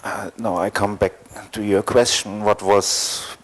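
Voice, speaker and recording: A man from East Germany, speaking English, telling his story as a panel guest with many pauses and fillers. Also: fairly low pitch, flat delivery. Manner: hesitant, thoughtful, many fillers. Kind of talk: panel discussion, personal account.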